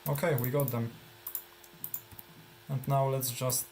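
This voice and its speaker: A man's voice: medium pitch, level tone, slow, a little mellow, slight background noise. A man with a Polish accent, native Polish speaker, casually commenting on his own gameplay while thinking aloud with fillers. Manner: thinking aloud, many fillers and trailing phrases. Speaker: gameplay streamer.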